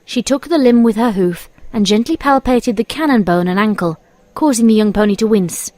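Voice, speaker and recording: A woman's voice: fairly high pitch, normal speed, no room sound. A woman narrator reading a scripted story in storybook narration style. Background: soft music.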